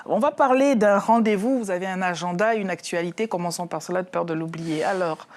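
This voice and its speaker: A woman's voice: lowish voice, fairly quick. A woman in her forties hosts a live television talk show in French. Her phrasing is formal and polished, yet she slips in light jokes and wordplay as she moves between guests. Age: 40s.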